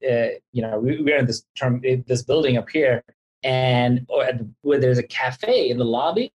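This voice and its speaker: A man hosts a podcast from an office. His interviewing is casual and informal, with short affirmations dropped in, and his voice sits at an ordinary pitch. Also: normal speed, dull audio. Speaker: podcast host.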